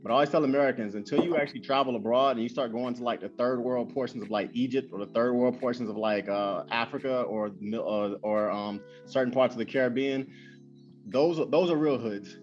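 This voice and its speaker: A man hosting a casual interview, talking quickly and loosely with many fillers and repeated phrases. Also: mid-range voice. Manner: fast, animated, lots of fillers and repeats. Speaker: host. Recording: dull lo-fi sound with faint music.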